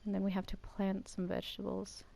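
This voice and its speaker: A woman's voice: mid-range voice, completely flat delivery, brisk, vocal fry, dark tone. A young Danish woman, a native Danish speaker with an Americanized English accent, chatting casually as she comments on her gameplay in a rambling, Valley Girl YouTube tone. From Denmark. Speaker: gaming YouTuber.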